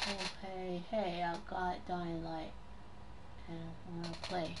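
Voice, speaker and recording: A woman from Taiwan streams a game in a casual, chatty way. Her voice sits fairly low for a woman. She swears mildly, and loud game noise runs behind her. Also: little pitch movement, slow pace. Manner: mild swearing, chatty with viewers. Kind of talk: casual live gameplay commentary. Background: video game sounds.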